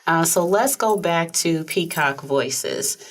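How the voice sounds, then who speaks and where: A woman hosting a talk show in a studio, soft-spoken and conversational. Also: fairly low pitch, slow pace. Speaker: host. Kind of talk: casual interview chat.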